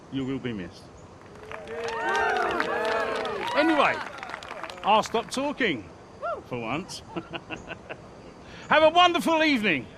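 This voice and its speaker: An actor playing a blunt, avuncular older racing team boss in a video game cutscene. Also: male voice, high voice, very noisy, exaggerated voice. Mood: jovial.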